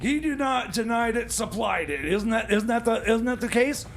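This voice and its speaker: Man putting on a very high, creaky, stammering tinkerer. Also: expressive, a little hiss or hum, exaggerated voice. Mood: flustered. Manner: stammering, halting, muttering. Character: cranky, flustered male tinkerer inventor, fussy patriot. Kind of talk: improvised radio comedy.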